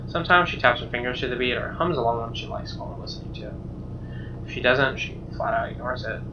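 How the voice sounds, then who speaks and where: A man's voice: mid-range voice, fast talker, noisy background. A man reading visual novel narration aloud as a streamer, conversational, occasionally stumbling, with casual asides. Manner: conversational, stumbles over read lines. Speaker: let's play streamer reading game narration. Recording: muffled, distant mic. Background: guitar music.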